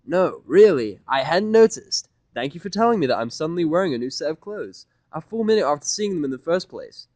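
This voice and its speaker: A man's voice: a little high, sing-song, brisk, hardly any pauses, a little mellow, no room sound, pitched above the performer's own voice. A man voicing an irritable, cynical human male with a sardonic, profane manner in a story reading. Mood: sarcastic.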